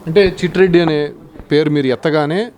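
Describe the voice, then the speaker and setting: A man's voice: a little high, steady tone, brisk, darkish tone, a little hiss or hum. A man putting probing questions as a news interviewer, in formal, wordy phrasing.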